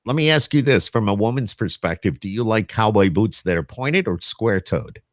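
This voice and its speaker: A man with a low voice that moves up and down a lot hosts a casual podcast interview. He is folksy and jokey, and the sound is dry with hardly any room in it. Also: animated, moderate pace, dry recording. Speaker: podcast host.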